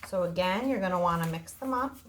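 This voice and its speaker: A woman's voice: on the low side, animated, brisk. Woman teaching children, echoey room. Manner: simple, child-directed explaining with casual wording. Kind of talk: informal children's lesson and story time. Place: indoor room. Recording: echoey room, faint noise.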